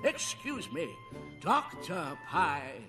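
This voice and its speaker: A man's voice: on the high side, big pitch swings, drawn-out words, pushed and bright, far from the mic, full character voice. An actor voicing a pompous male pony professor in a cartoon, giving a formal, fussy ceremonial speech. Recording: show clip with music behind.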